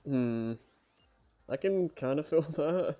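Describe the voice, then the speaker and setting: A man chatting casually as a livestream host, speaking in a hesitant, halting way. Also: a little high, expressive, dark tone, soft background music. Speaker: host of a story-reading livestream. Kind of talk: casual chat.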